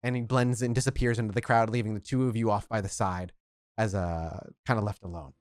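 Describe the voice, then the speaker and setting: A game master narrating a tabletop role-playing scene in a lively, descriptive way. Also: medium pitch, a little fast, dry recording, clean studio sound.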